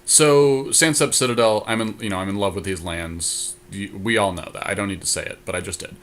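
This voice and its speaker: A man's voice: lowish voice, brisk, fairly bright tone. A man co-hosting a casual card game podcast, thinking his choices through aloud in a conversational way. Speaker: podcast co-host.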